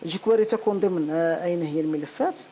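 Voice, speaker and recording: A woman giving an unscripted step-by-step software tutorial, halting and repeating phrases as she searches for words. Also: lowish voice. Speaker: programming tutorial instructor. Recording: clearly noisy home-made recording.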